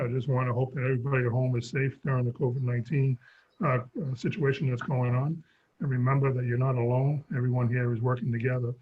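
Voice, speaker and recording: A man with a fading, husky voice chairs a town sewer commission meeting over a video call, stumbling through a scripted opening. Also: medium pitch, a little hiss or hum. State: losing his voice. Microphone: remote video call. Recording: dull lo-fi call audio.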